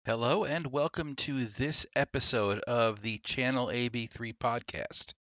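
Male podcast host, crisp forward tone, dry close mic, emphatic scripted reviewer style. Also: mid-range voice, measured pace, a bit bright, dry recording, muffled recording. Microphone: close, dry podcast microphone.

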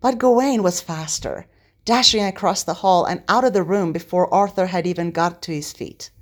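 A woman reading a story aloud as narrator, in an expressive scripted style. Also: lowish voice, normal speed. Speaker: podfic narrator.

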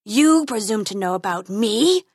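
A woman doing a booming, slow dread dragon. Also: animated, darkish tone, breathy edge, dry recording, clean studio sound. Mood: brooding. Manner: booming, drawn-out, talks of eating people. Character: menacing grand male dragon, huge and slow.

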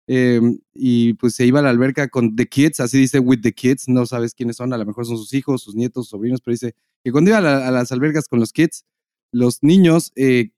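Mexican male podcast host: mellow, dry close studio sound, slangy and profane. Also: mid-range voice, dry recording. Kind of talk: casual unscripted podcast banter.

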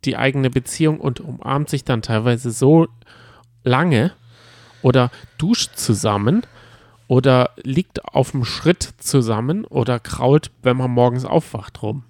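A man's voice: medium pitch, a little slow, a little mellow, no room sound, studio quality. A man co-hosting a podcast in casual chat, with a teasing, wry and colloquial way of talking. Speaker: podcast co-host. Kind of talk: casual chat about a reality show.

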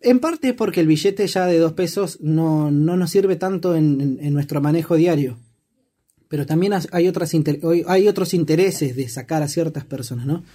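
A man's voice: a little high, moderate pace, dark tone. A man with an Argentine accent explaining his views in a casual discussion, reflective and asking rhetorical questions. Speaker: discussion participant.

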